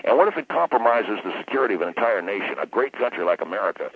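A man's voice: fairly low pitch, expressive, rapid-fire, husky, pitched below the performer's own voice. A man voicing a blustery, emphatic, patriotic caller in a comic radio call-in bit. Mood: outraged. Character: blustery, patriotic male talk-radio caller, plain-spoken and outraged. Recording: muffled tinny phone line.

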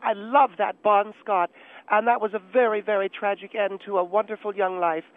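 A man's voice: sits high, fairly even intonation, moderate pace, voice pushed up, close to an everyday voice. A man voicing an opinionated, condescending married woman who needles and lectures others as a talk radio guest. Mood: breezy, reminiscing. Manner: condescending, needling, self-righteous. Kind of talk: talk radio debate in character. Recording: narrow band phone line.

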